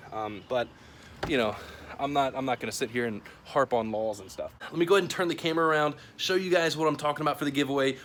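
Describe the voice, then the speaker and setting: A man's voice: medium pitch, fairly bright tone. An American man talking casually to camera as a YouTube host, in an informal, conversational way. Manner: informal, conversational, chatty phrasing. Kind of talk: casual product review and giveaway talk to camera. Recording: clearly noisy ambient background.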